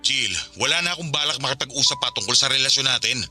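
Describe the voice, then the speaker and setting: A man's voice: mid-range voice, quick pace, pushed and bright, faint music behind. An actor playing a firm, exasperated young father in a radio drama, curtly refusing his former partner. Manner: curt, firm.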